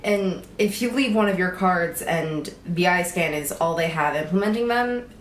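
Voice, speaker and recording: A woman's voice: lowish voice, normal speed, natural voice. Echoey, teasing villain's sidekick played by a woman. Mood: teasing, practical. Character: pragmatic, teasing young female villain's friend and accomplice. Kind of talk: naturalistic audio drama acting. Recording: echoey room with faint background noise.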